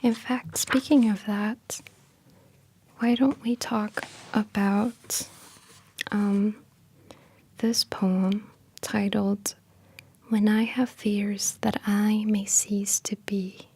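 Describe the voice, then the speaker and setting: A woman speaking softly in a breathy half-whisper, gentle and unhurried, in an intimate ASMR style close to the microphone. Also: mid-range voice, level tone, a little hiss or hum. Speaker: ASMR creator and host. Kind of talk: soft-spoken ASMR, casual explaining and poetry reading.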